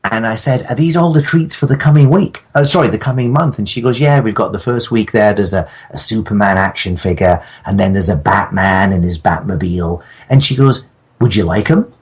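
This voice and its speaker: A man with a husky voice chatting as a podcast guest, telling rambling comic stories in halting, mock-formal, wordy phrasing. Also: fairly low pitch. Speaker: podcast guest, audio drama creator. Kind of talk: comic casual chat, rambling storytelling.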